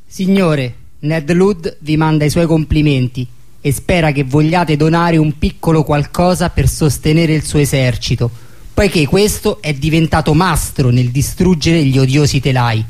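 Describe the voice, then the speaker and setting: A man's voice: on the high side, moderate pace, darkish tone, lightly acted. A man playing a Luddite rebel leader in a radio drama, formally reading out his threatening letter with veiled menace. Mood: polite menace.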